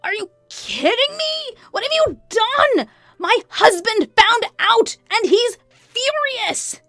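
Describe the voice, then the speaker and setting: A woman voicing a shrill, indignant and defensive mistress in a narrated story. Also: big pitch swings, normal speed, vocal fry, dry recording, soft background music, heavily acted. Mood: furious. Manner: shouting, defensive. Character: shrill, indignant, brazen adulterous woman, former best friend.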